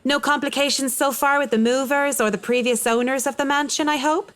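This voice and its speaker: An actress playing a polite, affectionate young professional woman in a visual novel, gentle and courteous. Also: sits high, steady tone, brisk.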